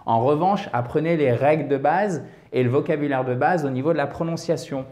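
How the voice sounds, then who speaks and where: Man, French, English teacher, online lesson, slightly high voice, chatty, step-by-step explanations. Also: fairly quick, darkish tone, echoey room. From France. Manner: chatty, checks in often with "ok?" and "d'accord?".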